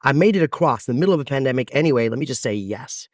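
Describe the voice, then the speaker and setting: A man's voice: mid-range voice, dry recording. Male guest, thirties, American accent, expansive storyteller, close dry mic. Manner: expansive, animated storytelling with dramatic pauses. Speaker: podcast guest, event MC. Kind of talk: storytelling interview answers.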